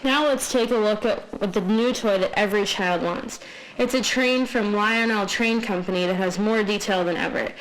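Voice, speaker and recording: A young woman with a medium-pitched voice reads a prepared radio segment aloud. She speaks as the presenter, addressing listeners. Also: moderate pace, low-quality recording. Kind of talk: scripted radio report, read aloud.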